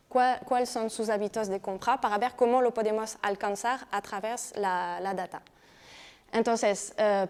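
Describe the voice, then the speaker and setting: A woman from France speaks with a French accent, explaining her talk step by step to a room of listeners. Her voice sits a little high and comes across smooth and clear. She pauses on small hesitations and keeps checking that everyone follows. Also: darkish tone. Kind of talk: conference talk.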